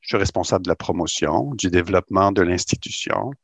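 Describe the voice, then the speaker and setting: A middle-aged Québécois man talks in a low, gravelly voice, recorded dry and close. He explains his work at an unhurried pace, full of hesitations and repeated words. He sounds like a university administrator being interviewed on radio. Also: dry recording. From Quebec. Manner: hesitant, many "euh" fillers and repeated words.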